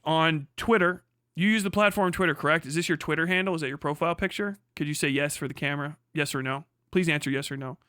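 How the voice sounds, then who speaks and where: A man's voice: a little high, fast, clear, resonant tone, dry recording, clean studio sound, natural voice. A man playing a dogged, methodical deposition lawyer. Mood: probing. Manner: flat, procedural, persistent questioning. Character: methodical male lawyer questioning a witness.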